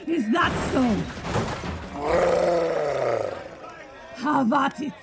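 A woman's voice: high-pitched, moderate pace, vocal fry, a loud crowd, heavy background noise. A woman acting in an audio drama as a protective female vampire, speaking urgently and dramatically. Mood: menacing. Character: commanding female vampire, fierce and protective friend.

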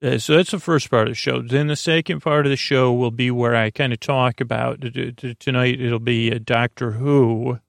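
A man hosting a sleep podcast, talking gently in a meandering, halting, goofy monologue with a creaky voice. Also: medium pitch, steady tone, no echo, clean studio sound. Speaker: podcast host.

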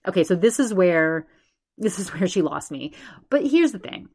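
A woman's voice: lowish voice, moderate pace. A woman in her forties hosting a solo podcast, talking in a chatty, rambling way and speaking straight to her subscribers. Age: 40s. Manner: chatty, rambling, animated, addresses subscribers directly. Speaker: podcast host.

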